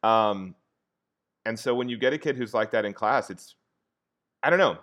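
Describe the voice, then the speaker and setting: A man's voice: fairly low pitch, moderate pace, fairly bright tone. A man who teaches history, speaking as a podcast guest in an earnest, reflective way with a soothing voice. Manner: earnest and reflective, many restarts and fillers. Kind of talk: casual interview conversation.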